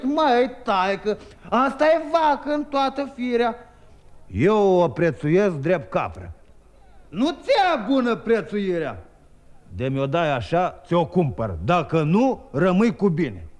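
A boastful young peasant trickster, high, swooping delivery, old radio play. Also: male voice. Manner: lively, boastful, chuckling rhymed telling. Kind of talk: 1950s radio drama, rhymed storytelling.

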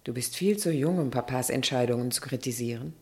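An actress playing a middle-aged aristocratic mother, dignified and gently reproachful, in a radio drama. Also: very deep voice, a little fast. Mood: reproving. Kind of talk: German radio drama acting.

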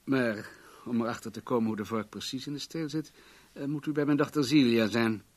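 A man's voice: medium pitch, fairly quick, close to an everyday voice. Radio play role: male actor as wealthy businessman, guarded, commanding, formal delivery, mid-range voice. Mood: matter-of-fact. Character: wealthy, controlling businessman, guarded and self-assured.

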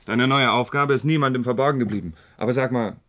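An actor playing a concerned, gently impatient companion in a radio play, speaking in a familiar, questioning way. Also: male voice, mid-range voice, fast talker, slight background noise, natural voice. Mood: concerned, probing.

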